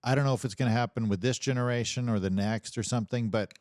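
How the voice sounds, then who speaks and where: A man in a casual livestream conversation, talking in a halting, rambling way with some swearing. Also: mid-range voice, darkish tone, dry recording, clean studio sound.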